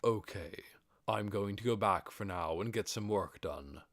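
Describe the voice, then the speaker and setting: A man voicing a cautious, gracious undead overlord in an audiobook, speaking in a measured, commanding way. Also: on the low side, no echo, clean studio sound. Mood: benevolent. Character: undead overlord with red-spark eyes, cautious, gracious master. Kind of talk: audiobook character acting.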